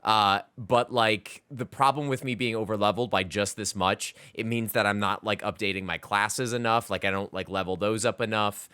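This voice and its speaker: A man's voice: fairly low pitch, steady tone, normal speed, few pauses, pushed and bright, clear, resonant tone. A man co-hosting a podcast, talking about video games in a loose, rambling, casual way. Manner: loose, rambling, repeats words as he goes. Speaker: podcast co-host. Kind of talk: casual video game chat.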